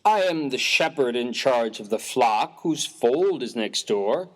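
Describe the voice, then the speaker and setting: A prim parson played by a man in a humorous story drama. He talks slowly and formally, choosing bookish words with pompous care, his pitch rising and falling expressively in bewilderment. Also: expressive. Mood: bewildered, formal. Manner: formal, pompous, precisely worded.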